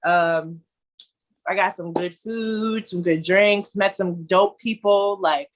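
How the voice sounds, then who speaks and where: A woman's voice: medium pitch, fairly even intonation, takes it slowly. A woman in her twenties from Ohio, animatedly telling a story as a guest in a casual conversation.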